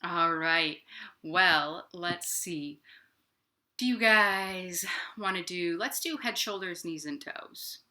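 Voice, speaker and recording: A woman's voice: fairly low pitch, takes it slowly. An American woman hosting a storytime for young children, reading and chatting expressively and speaking straight to the kids. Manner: expressive, addressing children directly with questions. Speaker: children's librarian and storytime host. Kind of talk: animated picture-book read-aloud and chat for young children. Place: indoors at her home.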